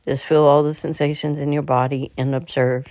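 Monotone meditation guide, muffled sound. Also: mid-range voice, no echo. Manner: calm, halting delivery with stumbles. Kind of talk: slow guided meditation narration. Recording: dull, muffled lo-fi recording.

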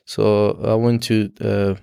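A young man from Afghanistan whose first language is Dari Persian, speaking as a student guest in an interview, halting with frequent restarts and fillers. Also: on the low side, level tone, vocal fry, warm tone, smooth, no room sound, clean studio sound. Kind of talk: casual interview.

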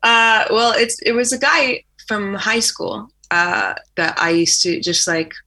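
A woman's voice: mid-range voice, expressive, slow pace, bright, forceful tone. A young woman from Poland with an American accent, a singer-songwriter chatting casually as an interview guest and laughing often.

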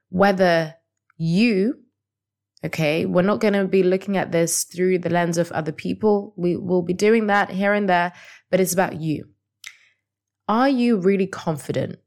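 A woman hosting a casual solo podcast as a coach, her voice slightly raspy, asking the listener reflective questions. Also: fairly low pitch.

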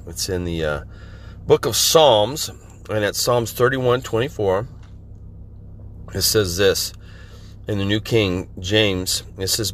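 A middle-aged man from Central California, hoarse from a head cold, giving an informal podcast sermon in a halting way with many pauses and fillers. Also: on the low side, a bit bright. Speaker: podcast minister.

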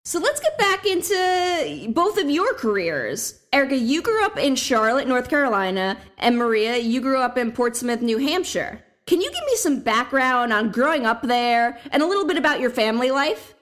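A woman's voice: high-pitched, very animated, normal speed, hardly any pauses, fairly bright tone. A woman hosting a podcast interview, asking her guests friendly, direct questions. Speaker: podcast host.

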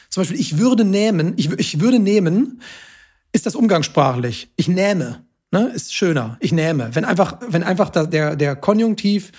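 A man's voice: on the high side, darkish tone, slightly rough. A man speaking German natively, explaining casually as a host, pedantic yet chatty. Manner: self-confessed language nerd, pedantic yet chatty. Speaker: podcast host explaining grammar.

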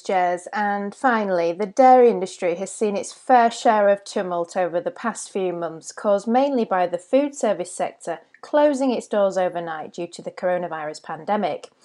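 A woman hosting a podcast, reading her links from a script. Her voice is mellow and warm, and her delivery is steady and even. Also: medium pitch. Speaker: podcast co-host.

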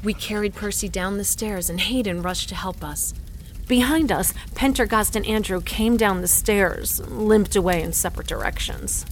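A woman's voice: mid-range voice, moderate pace, a little hiss or hum, natural voice, poor audio. A woman playing a scientist and mother who narrates her own story in a scripted audio drama. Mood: grave narrating. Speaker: narrator and lead character.

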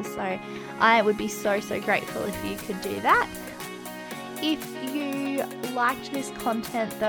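A woman hosting a podcast in a casual, effusive way. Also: medium pitch. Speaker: podcast host.

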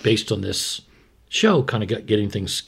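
A man's voice: fairly low pitch, animated, normal speed, vocal fry, husky. A man explaining medical matters in a conversational, plain-spoken way as a podcast host and expert. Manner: explanatory, plain-spoken, asks and answers own questions.

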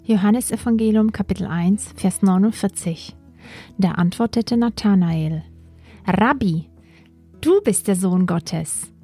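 A woman's voice: mid-range voice, measured pace, mellow, breathy edge, soft background music. A woman giving a scripted Bible reading as the scripture reader.

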